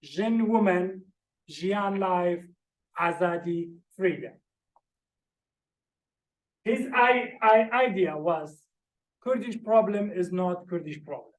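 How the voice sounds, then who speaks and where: A man's voice: high-pitched, slow pace, pauses often. A man giving an academic lecture from slides, earnestly explaining each term one by one. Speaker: visiting lecturer. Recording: roomy echoey room.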